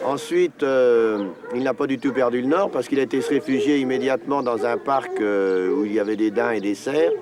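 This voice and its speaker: A man's voice: mid-range voice, moderate pace, hardly any pauses. Man explaining a hunt, faint noise behind. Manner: thoughtful, explanatory, many fillers. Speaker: hunter. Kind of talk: documentary interview.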